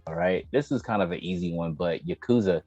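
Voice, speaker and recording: A man chatting casually as a gaming show host, slangy and opinionated, given to excitable interjections. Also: deep voice, fairly quick. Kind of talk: casual opinionated chat about video game movies. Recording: dull, echoey room, faint music behind.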